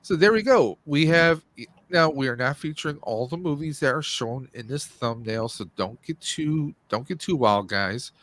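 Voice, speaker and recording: A man's voice: a little high, animated, moderate pace, fairly bright tone, no echo. Casual male podcast host, dry close sound. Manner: informal, jokey asides. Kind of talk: casual livestream chat about movies and games.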